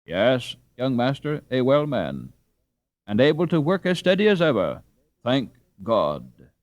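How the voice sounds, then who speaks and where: A man voicing a blunt, excitable Dutch working father in a storybook, speaking emphatically in old-fashioned rustic phrases. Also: mid-range voice, unhurried, no echo, pitched above the performer's own voice. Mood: emphatic.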